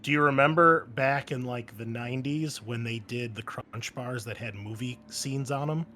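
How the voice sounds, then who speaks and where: A man with a mid-pitched voice hosts a tabletop game in a casual, joking way, swearing freely. There is some noise and ambience behind him. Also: moderate pace, sharp tone. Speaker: host and game master. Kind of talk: casual chat introducing a tabletop game show. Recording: noisy background ambience.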